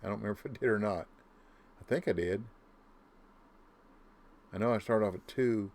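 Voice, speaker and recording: Low-voiced man humming over his game. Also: moderate pace, darkish tone. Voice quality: deep voice. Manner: muttering to himself, humming nonsense tunes. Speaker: gaming YouTuber. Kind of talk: casual gameplay commentary.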